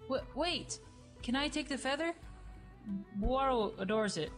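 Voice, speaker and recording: A woman's voice: high voice, animated, a little slow, faint music behind. A woman voicing a sarcastic, brash young dead woman in a fan radio play, snappy and exclaiming. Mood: snarky.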